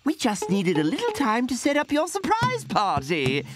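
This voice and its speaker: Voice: mid-range voice, huge pitch swings, moderate pace. An actor voicing a civilized, matter-of-fact talking ape in a cartoon, with a dry, articulate, explanatory manner. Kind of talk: animated cartoon comedy acting. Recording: loud cartoon music behind the voice.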